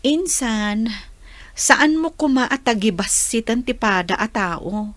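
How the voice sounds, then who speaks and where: A woman plays a doubtful young village daughter with an Ilocano accent. Her voice is very high and unhurried, with a plaintive radio-drama lilt, recorded dry. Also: fairly bright tone, no room sound.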